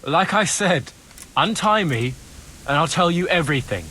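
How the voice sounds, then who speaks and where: An actor playing an ingratiating, glib turncoat informant in a video game cutscene. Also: male voice, a little high, animated, moderate pace, pushed and bright, slight background noise, low-quality recording. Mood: wheedling. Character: slippery male turncoat informant bargaining for his freedom.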